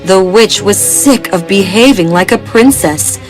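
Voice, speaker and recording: A woman's voice: mid-range voice, animated, a little slow, rough recording. A woman reading a scripted bedtime story as a storyteller. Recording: music behind the voice. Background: background music.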